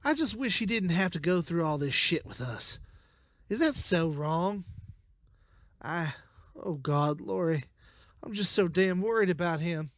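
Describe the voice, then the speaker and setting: A man's voice: high-pitched, animated, unhurried, long pauses, fairly bright tone, dry recording, studio quality, heavily acted. A man voicing a distraught, weary survivor and father in a motion comic, with strained emotion. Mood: anguished, loving. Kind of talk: comic book voice acting.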